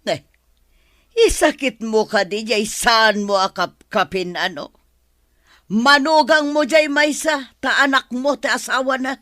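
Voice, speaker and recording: A woman playing a sharp-tongued, scolding older wife in an Ilocano radio drama. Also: a little high, a little slow, no room sound, clean studio sound.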